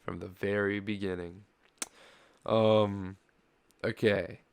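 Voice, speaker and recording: A man's voice: fairly low pitch, little pitch movement, slow, gravelly, darkish tone, smooth, no room sound. A man hosting a reaction stream in casual, hesitant, giggly chat. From Canada.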